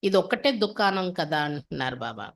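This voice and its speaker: A woman's voice: on the low side, sing-song, normal speed. Woman teaching a scripture class. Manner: instructive, repeats and paraphrases, with rhetorical questions. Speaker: spiritual teacher reading and explaining a daily scripture class. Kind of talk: religious discourse, reading aloud then translating and explaining.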